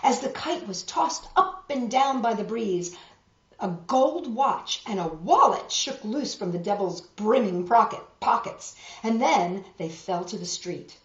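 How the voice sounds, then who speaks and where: A woman reading a picture book aloud to children, expressive and dramatic, pausing for asides to show the pictures. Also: a little high. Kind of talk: animated children's story reading with asides to viewers. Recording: roomy, dull lo-fi web video.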